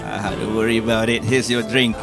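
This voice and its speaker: A man voicing a friendly, easygoing cafe worker who teases and reassures, in an animated comic series, with loud music behind him. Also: lowish voice, brisk, gravelly. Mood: reassuring. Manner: relaxed, teasing, reassuring. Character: friendly cafe owner, easygoing older-brother type.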